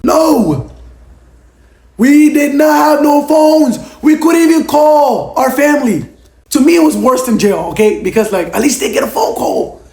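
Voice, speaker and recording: Young male vlogger, hoarse and losing his voice, high-pitched, emphatic Q&A delivery, echoey room. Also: a little slow, darkish tone. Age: young adult. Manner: emphatic, keeps tagging on "okay?". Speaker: former reality show contestant. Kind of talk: casual Q&A vlog. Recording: roomy echo.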